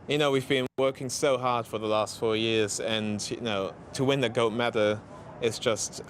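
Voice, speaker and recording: A man with a German accent, an athlete giving an interview, speaking haltingly with many fillers and restarts. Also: mid-range voice, fairly even intonation, a little hiss or hum. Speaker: interviewed cyclist. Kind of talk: post-race interview.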